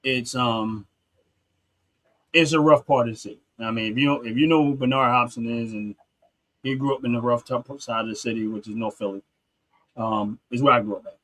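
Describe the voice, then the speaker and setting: A man's voice: medium pitch, fairly even intonation, a little fast, a bit bright, low-quality recording. Earnest man hosting a talk show. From North Philadelphia, USA. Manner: earnest, repetitive restarts, street-talk phrasing. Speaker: podcast host. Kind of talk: casual talk show discussion.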